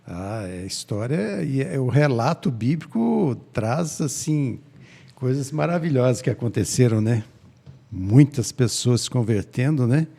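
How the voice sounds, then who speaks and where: A man co-hosting a Bible study chat, speaking calmly and agreeing often. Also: mid-range voice, measured pace, gravelly. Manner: calm, agreeing, fills with "né?".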